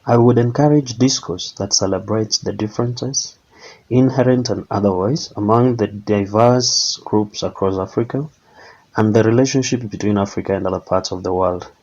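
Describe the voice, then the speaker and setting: A man giving a prepared answer in a formal, eloquent, measured way. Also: lowish voice, a little mellow, lo-fi sound.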